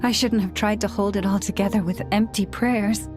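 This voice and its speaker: A woman voicing an earnest, solemn young priestess in a video game, speaking in a measured way over music. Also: medium pitch, fairly even intonation, close to an everyday voice. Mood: pained, earnest. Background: background music.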